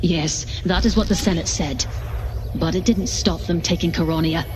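A woman's voice: lowish voice, normal speed, noisy background, close to an everyday voice. A woman playing an earnest noblewoman in an audio drama, pleading urgently with her brother.

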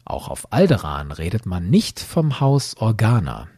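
A man's voice: fairly low pitch, sing-song, a little slow, dark tone, breathy edge, dry recording, studio quality. A man hosting a podcast, explaining in a didactic way with emphatic phrasing. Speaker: podcast host.

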